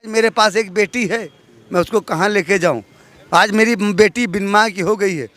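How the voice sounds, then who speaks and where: A man's voice: high-pitched, moderate pace, fairly bright tone. A middle-aged man, speaking emphatically and bitterly as an interviewed party worker. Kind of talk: aggrieved street interview.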